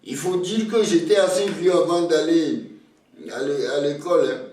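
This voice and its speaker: An older Togolese man whose first language is Ewe, speaking slowly and hesitantly, often repeating words as he starts a thought. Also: a little high, darkish tone, distant mic. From Togo. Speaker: interviewee, elder. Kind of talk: slow reflective storytelling. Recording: very echoey room, voice off-mic.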